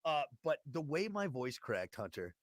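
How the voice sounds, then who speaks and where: A young man with a gravelly, scratchy voice, joking his way through casual morning radio banter as host. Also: fairly high pitch, dry recording, studio quality. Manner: joking, animated, quick to laugh. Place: radio studio.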